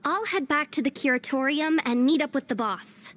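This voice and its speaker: A woman's voice: high-pitched, moderate pace, a bit bright, faint music behind. An actress voicing a hopeful young woman ally in a game, thoughtful as she shares what she knows.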